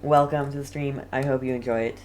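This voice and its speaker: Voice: mid-range voice, steady tone, brisk, a little mellow, a little hiss or hum. A game streamer giving casual, chatty livestream commentary with light teasing.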